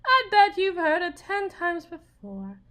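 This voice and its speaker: Casting: young wife, Southern US accent, very high voice with sweeping swings, wounded, sardonic, desperate, for a dramatic audio roleplay. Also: female voice, sing-song, measured pace, fairly bright tone, heavily acted. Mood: bitter, confiding. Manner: confiding, theatrical, folksy, wounded and sardonic. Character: bitter young Southern wife and expectant mother, desperate, dreaming of stardom.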